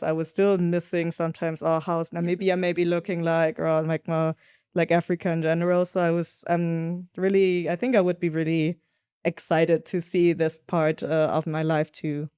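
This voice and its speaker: A young woman from Germany, a podcast guest, telling travel stories in a thoughtful, lively way. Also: low-pitched, smooth, no echo, studio quality. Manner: thoughtful storytelling, lively when quoting others. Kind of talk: casual conversational interview.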